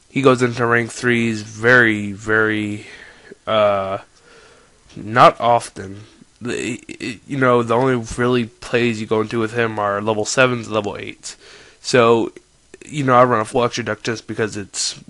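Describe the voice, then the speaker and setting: A man's voice: mid-range voice, a bit bright, no room sound. A young man with a stuffy nose from a cold, casually explaining his card game deck in a rambling, self-correcting way.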